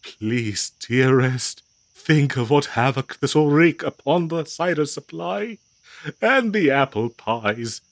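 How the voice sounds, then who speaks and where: A man playing a prim, devoted, fretful 19th-century husband in a comedic audio roleplay, speaking in florid, halting, theatrical old-fashioned phrases. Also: mid-range voice, expressive, no room sound. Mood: pleading, panicked.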